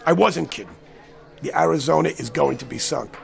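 An American actor playing an agitated, cynical man who insists he has seen disaster coming, speaking in a blunt, slangy, pleading way with a gravelly voice. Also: on the high side, moderate pace, faint music behind. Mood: urgent, grave. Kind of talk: dramatic screen acting.

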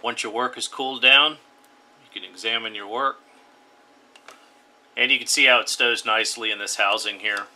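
A man hosting a radio hobby channel, calmly talking viewers through a hands-on build step by step. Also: fairly low pitch, moderate pace, pauses often, slight background noise. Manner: plain, instructive, step-by-step. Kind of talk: DIY tutorial voice-over.

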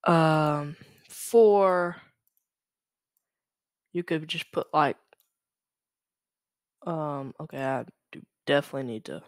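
A young man from Louisiana hosting a casual, unscripted screen-share tutorial, halting and correcting himself as he goes. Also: fairly high pitch, clear, resonant tone, no echo, studio quality. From Louisiana, United States. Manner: hesitant, halting, self-correcting. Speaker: tutorial host. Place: indoors at a computer. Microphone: USB microphone.

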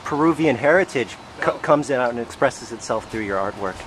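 A man's voice: medium pitch, expressive, moderate pace, a bit bright, poor audio. A man conducting a casual interview as the interviewer, speaking outdoors. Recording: clear outdoor urban noise.

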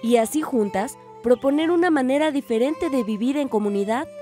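An actress as a young village woman telling her story in a radio drama. Her voice is fairly high, earnest and measured, with music underneath. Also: mellow, lightly acted. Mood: earnest, hopeful. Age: young adult. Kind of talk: scripted radio drama narration.

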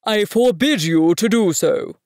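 A self-important old storybook monarch, as a woman narrator puts him on. He proclaims slowly and grandly, swinging up and down in pitch, commanding yet good-natured underneath. The sound is close and dry. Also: animated, a little mellow, no room sound, natural voice. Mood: pompous, commanding. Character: pompous old male king, commanding but good-natured.

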